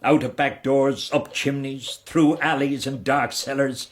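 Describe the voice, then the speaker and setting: An actor playing a roguish, fawning Irish knight and debt-dodging fixer in a radio period drama, speaking with an Irish accent and theatrical flourishes. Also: male voice, mid-range voice, steady tone, measured pace, lightly acted. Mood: jaunty, cynical. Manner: theatrical, ingratiating, rhetorical flourishes.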